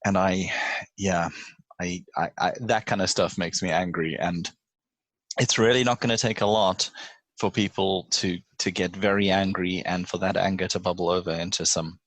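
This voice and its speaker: Low-pitched man, earnest and opinionated. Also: fairly even intonation, moderate pace, fairly bright tone, dry recording. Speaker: podcast co-host. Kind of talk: casual panel chat.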